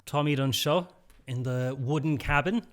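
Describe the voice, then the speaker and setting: A man's voice: medium pitch, normal speed. A man co-hosting a podcast in a wooden cabin, with dry teasing banter. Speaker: podcast co-host. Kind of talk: casual chat.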